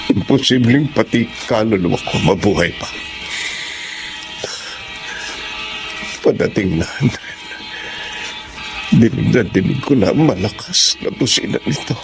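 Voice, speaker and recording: Casting: grieving older widower, Filipino accent, anguished, weighty radio drama delivery, over music. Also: male voice, deep voice. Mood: grimly resolved. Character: grieving, despairing suicidal widowed old man. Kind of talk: Tagalog radio drama acting.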